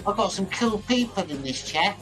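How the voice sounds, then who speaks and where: A man's voice: sits high, animated, normal speed, music in the background. A man from Manchester with a northern English accent mixed with London, chatting casually to live viewers in a blunt, slangy way and repeating his phrases. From Manchester, northern England, later lived in London. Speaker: livestream host chatting with viewers. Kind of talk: casual chat, reading and answering live comments.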